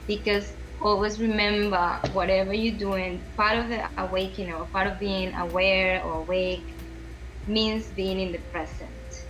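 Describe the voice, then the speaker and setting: A woman's voice: mid-range voice, fairly even intonation, normal speed, fairly bright tone, slight background noise, rough recording. A woman from Venezuela with a Venezuelan Spanish accent, speaking as a podcast guest in an earnest, word-searching way. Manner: earnest, repeats and restarts phrases while searching for words. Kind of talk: conversational interview.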